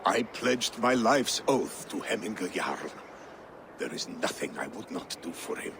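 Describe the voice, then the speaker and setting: A man acting a loyal, dutiful Norse clan steward in a video game cutscene, speaking solemnly and in measured phrases. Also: low-pitched, lively intonation, exaggerated voice. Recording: clearly noisy background.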